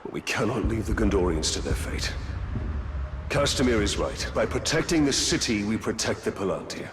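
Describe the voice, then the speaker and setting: An actor playing an honourable, protective warrior in a video game, grave and deliberate. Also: male voice, on the low side, big pitch swings. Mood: earnest. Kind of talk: dramatic video game acting. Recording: noisy background.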